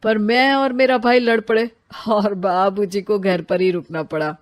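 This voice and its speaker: A woman's voice: medium pitch, fairly even intonation, measured pace, close to an everyday voice. A woman voicing an earnest, sentimental married man, the elder brother in a children's story. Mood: wistful.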